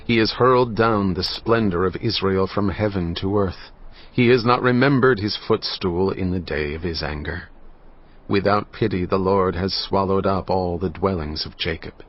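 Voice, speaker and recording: A man narrating scripture in a dramatized style, with a solemn, measured, grave delivery. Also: sits low. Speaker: Bible narrator. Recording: slightly muffled, faint music behind.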